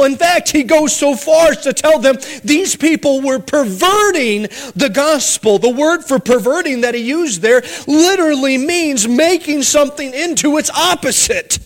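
A male pastor delivers a sermon in a noticeably high voice. He pleads earnestly with his listeners, then shifts into a story full of humorous asides.

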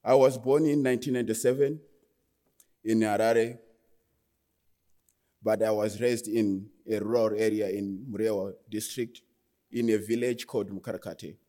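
An earnest young man with a Zimbabwean accent tells his life story from the front of a large hall. His voice sits low and mellow, and he often stops to restart a phrase. The room adds a long, trailing reverb. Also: steady tone, normal speed, long pauses. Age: 20s. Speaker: guest speaker. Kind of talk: personal testimony talk.

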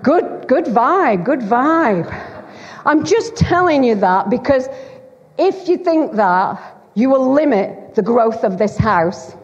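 A woman's voice: fairly high pitch, very animated, takes it slowly. A middle-aged woman with a Lancashire accent, preaching a chatty, teasing sermon to a church congregation. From Bolton, Greater Manchester, England. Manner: chatty, teasing, self-deprecating. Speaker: guest preacher. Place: church hall. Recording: dull, long hall reverb.

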